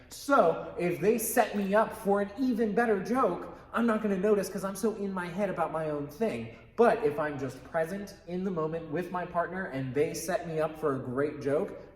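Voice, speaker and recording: A man teaching improv in an informal, emphatic way, explaining rules and stressing key phrases in an echoey room. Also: fairly high pitch. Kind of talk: explanatory lesson with quick acted examples.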